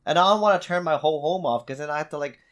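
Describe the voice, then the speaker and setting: A man's voice: fairly high pitch, rapid-fire, fairly bright tone. A man co-hosting a gaming podcast, bantering casually with friends and swearing bluntly.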